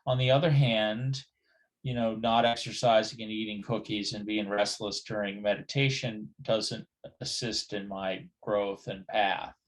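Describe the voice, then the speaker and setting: A middle-aged man on a video call, asking a question with many pauses, "um"s and restarts. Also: fairly low pitch, fairly even intonation, rough recording. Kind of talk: hesitant spoken question.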